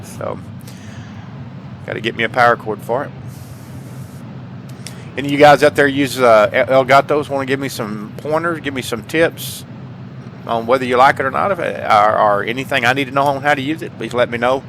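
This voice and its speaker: A man's voice: medium pitch, brisk, pauses often, a bit bright. A man hosting a gaming channel chats casually to viewers in an earnest, folksy way, repeating phrases for emphasis. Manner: earnest, heartfelt. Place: indoors at home, paintings behind. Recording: clear background noise.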